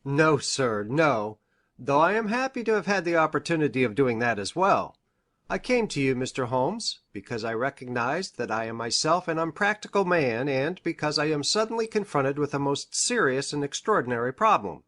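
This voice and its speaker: A woman's voice: low voice, big pitch swings, normal speed, fairly bright tone, pitched below the performer's own voice. A woman voicing an eager, eccentric male country doctor in an audiobook, speaking with formal, precise, effusive earnestness. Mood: earnest, formal. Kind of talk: audiobook character voice.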